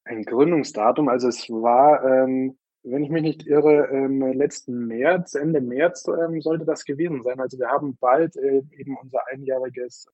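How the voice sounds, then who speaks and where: A man's voice: medium pitch, steady tone, dark tone, no room sound. Hesitant man on a dull, dry recording. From Munich, Germany. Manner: hesitant, halting, searching for words. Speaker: interview guest, newsletter co-founder. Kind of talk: informal interview answers. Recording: dry, slightly dull lo-fi sound.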